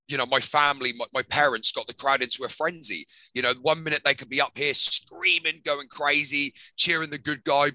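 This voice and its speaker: A man's voice: on the high side, bright, forceful tone, dry recording, low-quality recording. An English man in his thirties from England, a wrestler telling his story candidly in a casual interview, with lots of ums. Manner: frequent ums, candid and chatty. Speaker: guest, professional wrestler.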